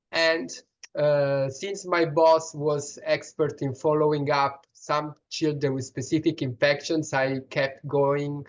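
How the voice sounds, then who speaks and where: A man's voice: on the high side, muffled recording. A man with a strong Italian accent, a pediatrician answering questions in an online session, explaining earnestly with many restarts and fillers. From Italy.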